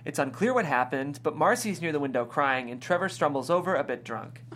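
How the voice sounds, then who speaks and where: A man's voice: fairly high pitch, expressive, a little fast, a bit bright, slight background noise. A man reading scripted stage directions as narrator at a table read of a pilot.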